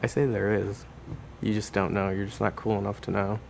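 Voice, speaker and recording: A man's voice: on the low side, flat delivery, fairly quick, creaky, a little mellow, slight background noise. A young American man answering casually in an interview with tongue-in-cheek cool. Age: young adult. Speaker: interviewee, musician. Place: indoors.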